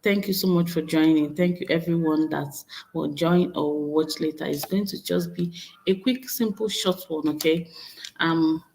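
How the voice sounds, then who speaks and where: A woman's voice: deep voice, normal speed, vocal fry, a little mellow, poor audio. A woman with a Nigerian accent chatting casually to viewers on a live stream, repeating herself and checking in with "okay?". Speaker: vlogger hosting a live stream.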